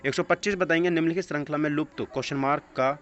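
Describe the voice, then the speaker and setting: A man's voice: on the high side, steady tone, rapid-fire. A man teaching a test-prep lesson, reading out questions and answers in an instructional way and mixing in English phrases. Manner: instructional, mixes English phrases into his speech. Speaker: exam coaching teacher. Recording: dull lo-fi audio with clear background noise.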